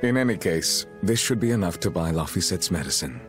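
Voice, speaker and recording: A man voicing a stern, calm swordsman mentor in a video game, measured and instructive. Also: sits low. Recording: music under the dialogue.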